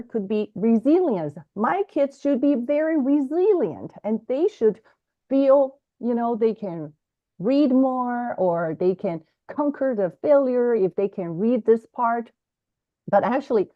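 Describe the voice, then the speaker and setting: Woman, Chinese native, educator guest; lively, explanatory, self-correcting delivery; dry, slightly muffled call sound. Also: fairly high pitch, no echo. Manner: animated, self-correcting, teacherly. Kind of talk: explanatory interview talk. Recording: dry, slightly dull lo-fi audio.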